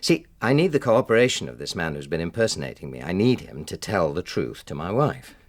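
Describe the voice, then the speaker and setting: A forceful British husband and father in a radio drama, middle-aged and exasperated. His voice is lowish and swings widely as he insists, bluntly, on being heard. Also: sing-song, a little fast, dry recording. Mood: grudging, firm. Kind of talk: naturalistic radio drama acting.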